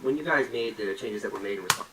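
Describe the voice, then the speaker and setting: Fast, bright-voiced male interviewer. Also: fairly low pitch, a little hiss or hum. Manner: earnest, probing questions. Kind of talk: interview questions. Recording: distant mic with faint ambience.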